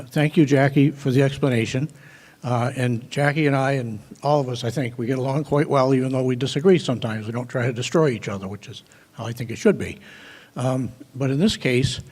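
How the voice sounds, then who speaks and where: A husky-voiced man at a medium pitch speaks up at a town meeting. He is polite and measured while pressing skeptical questions about a local rule. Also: a little mellow. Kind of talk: public comment.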